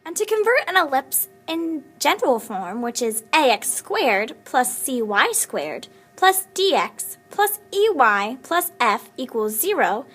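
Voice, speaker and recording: A woman's voice: sits high, big pitch swings, slow pace, hardly any pauses, a bit bright, slightly rough, faint music behind. A woman presenting a scripted maths lesson in a recited, step-by-step way.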